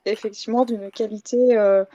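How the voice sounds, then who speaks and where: A woman speaking in a debate, measured and hesitant, qualifying her points carefully. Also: medium pitch, steady tone, dark tone, dry recording, highs cut off. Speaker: panelist in a debate. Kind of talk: thoughtful debate talk about audio fiction.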